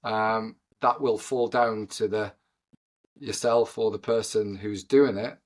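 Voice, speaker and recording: A man in his forties with a Geordie accent, a podcast guest who rambles with lots of pauses and "you know". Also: fairly low pitch, steady tone. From North East England. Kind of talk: casual podcast conversation.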